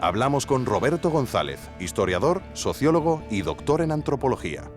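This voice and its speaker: A man's voice: medium pitch, very animated, fairly quick, faint music behind, natural voice. A man with an accent from Spain, playing an investigative journalist narrating a scripted documentary series. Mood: measured, wry. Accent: Spanish from Spain. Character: male investigative journalist narrating his inquiry.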